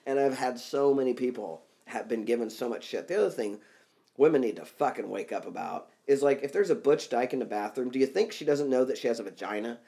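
A man's voice: medium pitch, brisk, gravelly, mellow. A man co-hosting a podcast in casual chat, talking bluntly and profanely and getting worked up as he goes. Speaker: podcast co-host.

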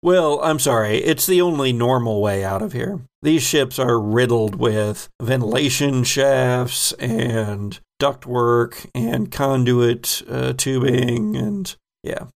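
Slow, gravelly male game master. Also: mid-range voice. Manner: unhurried, descriptive narration with sound effects. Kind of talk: narrating a tabletop game.

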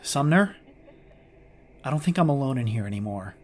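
A man's voice: medium pitch, animated, moderate pace, natural voice. A man playing a sardonic, irritable starship lieutenant stranded after a disaster, muttering in exasperation in a science fiction audio drama. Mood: irritated, wary. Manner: exasperated, muttering, hesitant asides. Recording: clearly noisy track with clicks.

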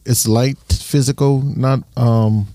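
A police officer from Ohio, with an Ohio accent, answering interview questions matter-of-factly with many pauses. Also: male voice, mid-range voice, fairly even intonation, dark tone, dry recording. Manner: hesitant, lots of ums, matter-of-fact.

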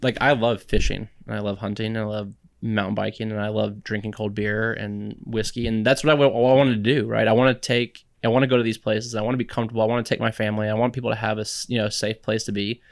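A man in his thirties from Texas talks in a relaxed way, laughing often and circling back through fillers and restarts. His voice sits low with a gravelly edge, recorded close and dry. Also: fast talker, hardly any pauses, no room sound. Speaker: guest. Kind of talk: casual podcast conversation.

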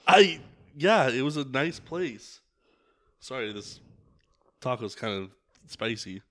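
A hesitant, stammering suspect who keeps dodging questions, played by a man. His voice sits at an ordinary middle pitch and often trails off in false starts. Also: very animated, slow, fairly bright tone, no room sound. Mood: defensive. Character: hungry, evasive young male suspect.

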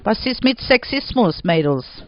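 A man leading a classroom discussion, asking students questions in halting, slightly broken German. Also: sits high, sing-song, no room sound. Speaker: workshop leader questioning students. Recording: dull, dry lo-fi recording.

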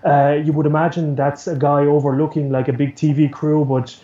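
An Irish man talks casually as an interview guest, rambling and doubling back on his words. His voice is mellow, on a roomy, dull-sounding remote link. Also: fairly high pitch, little pitch movement, brisk. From Ireland. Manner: rambling, repeats words as he goes. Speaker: interview guest, news agency producer.

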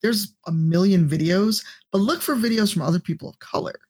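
A woman with a deep voice, a podcast guest and streamer giving blunt, profane advice in casual chat. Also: a little fast, darkish tone, husky.

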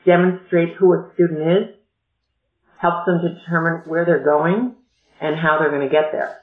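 A woman educational consultant explaining college admissions in a measured, explanatory way during a podcast interview. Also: low-pitched, fairly even intonation. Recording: echoey, tinny phone-like line.

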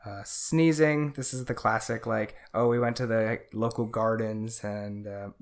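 A man's voice: on the low side, steady tone, unhurried. A man co-hosting a podcast, explaining in a casual chat with many fillers. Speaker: podcast co-host.

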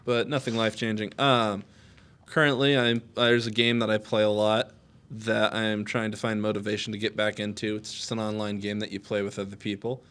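A man's voice: fairly low pitch, level tone, a little fast, fairly bright tone, clear, resonant tone. A man co-hosting a casual podcast, rambling and joking, often correcting himself mid-sentence. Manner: rambling, self-correcting, jokey. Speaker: podcast co-host.

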